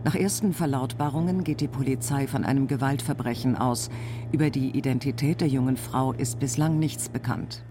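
A man's voice: mid-range voice, moderate pace, music in the background. A man reading scripted radio announcements and news in a formal announcer style. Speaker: announcer and newsreader.

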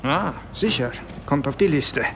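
A man playing a gruff, sarcastic army captain in a German radio play, blunt and given to swearing. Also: on the high side, expressive, unhurried, a bit bright, slight background noise.

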